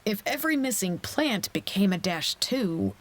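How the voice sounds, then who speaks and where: An actress playing a blunt, disgruntled field researcher in a naturalistic audio drama, speaking plainly. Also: female voice, mid-range voice, big pitch swings, normal speed, natural voice. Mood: matter-of-fact.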